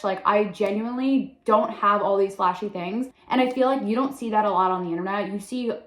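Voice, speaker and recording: A young woman talks straight to camera in a loose, chatty way, leaning on "like" as she goes. Her voice sits at an everyday middle pitch. The room around her rings with a noticeable echo. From Long Island, New York. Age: 20s. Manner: chatty, heavy use of "like", candid. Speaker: content creator. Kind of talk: casual talking-to-camera introduction. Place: room in her sister's house.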